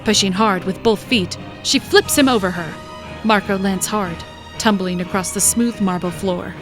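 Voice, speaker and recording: Woman, dramatic scripted narration, mid-pitched, fantasy story narrator, music clearly behind. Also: moderate pace.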